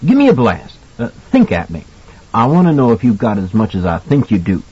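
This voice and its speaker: A man voicing a jovial, kindly older mentor with a husky voice and a folksy, colloquial manner in an audiobook. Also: lowish voice, huge pitch swings, fairly quick, dark tone, dull audio, exaggerated voice. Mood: curious, coaxing. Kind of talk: audiobook character acting.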